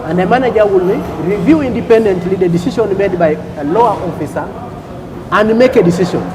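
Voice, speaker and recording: A man from Uganda, a customs official on a talk show, explaining rules deliberately and repeating points with "okay?" checks. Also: sits high, noisy background.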